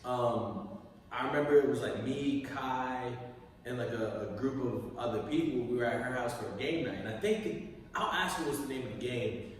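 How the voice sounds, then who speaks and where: Animated young man in an echoey room. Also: medium pitch, moderate pace. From Connecticut, USA. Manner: animated, profane, storytelling. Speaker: podcast co-host. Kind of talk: casual chat. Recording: echoey room, far from mic.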